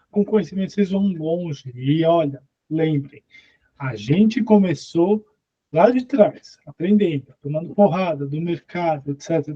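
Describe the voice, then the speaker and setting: A man's voice: high-pitched, mellow, husky. A man hosting an online interview, closing it in an upbeat, motivational way. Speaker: host. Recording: muffled lo-fi sound.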